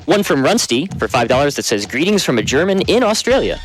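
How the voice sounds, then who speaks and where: A man's voice: fairly high pitch, sing-song, fairly quick, poor audio. A man hosting a charity marathon, reading out donation messages in an upbeat way. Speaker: marathon host. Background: game music.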